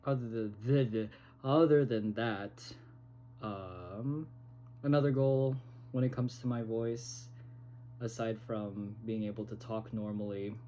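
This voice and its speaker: A man talking to his phone camera in a casual vlog, halting and hesitant, with many pauses. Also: lowish voice, dark tone, a little hiss or hum. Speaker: vlogger. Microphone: phone recording.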